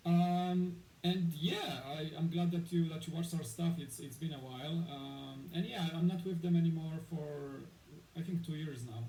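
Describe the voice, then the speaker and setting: A man's voice: on the high side, moderate pace, dark tone. A man in his thirties from Poland, a guest in a casual interview, rambling with many fillers and restarts. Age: 30s. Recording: echoey room with faint noise.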